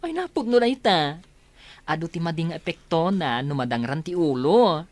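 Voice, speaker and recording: Low-voiced, earnest, caring woman. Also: very animated, normal speed. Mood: concerned. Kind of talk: radio drama acting.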